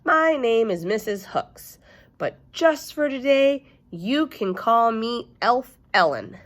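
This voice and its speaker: A woman's voice: on the high side, lively intonation, takes it slowly, pitched above the performer's own voice. A woman voicing a perky, officious school-volunteer mother in a storybook, over-polite and emphatic. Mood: perky, welcoming.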